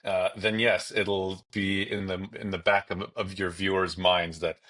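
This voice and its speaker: Lowish male voice, opinionated sports talk. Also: little pitch movement, measured pace, pushed and bright. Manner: conversational, opinionated. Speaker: sports podcast commentator. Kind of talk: casual sports discussion.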